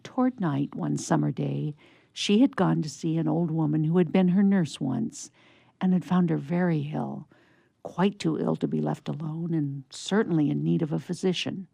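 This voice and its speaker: A woman narrating a scripted story for radio theatre in a measured storytelling manner. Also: deep voice, vocal fry, dark tone, dry recording.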